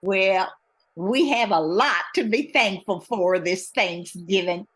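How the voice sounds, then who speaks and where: A woman's voice: medium pitch, slow pace, slightly rough. A woman playing a plucky small-airline boss in an amateur radio comedy. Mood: grateful.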